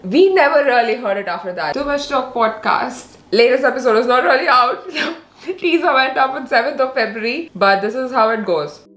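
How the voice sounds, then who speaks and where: A woman's voice: high voice, lively intonation, moderate pace, a bit bright. A young adult woman, hosting a podcast with her husband at home and chatting casually, with frequent "like" and "you know". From India. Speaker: podcast host. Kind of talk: casual chat. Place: festively decorated home room. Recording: roomy echo.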